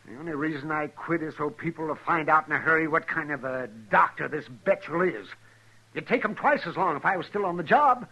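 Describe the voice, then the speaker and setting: An actor playing a crotchety, proud old frontier doctor in a 1950s radio western, with a blunt, folksy manner. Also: male voice, a little high, a little fast, sharp tone, poor audio. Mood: determined. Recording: 1950s radio drama recording.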